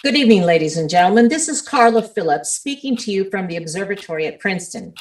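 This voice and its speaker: A woman's voice: mid-range voice, animated, fast talker, close to an everyday voice. A woman playing an earnest radio commentator, reporting and interviewing in a formal old-time radio drama style. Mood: formal, hushed wonder. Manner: formal, clearly phrased, attentive questioning.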